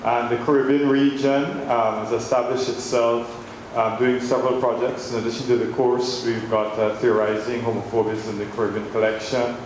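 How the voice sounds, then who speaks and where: A man with a medium-pitched voice gives a public speech, pausing often on ums. He is recorded in a strongly reverberant hall, with clear noise behind him. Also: level tone. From Guyana. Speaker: board member giving thanks. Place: large echoey room at a public event.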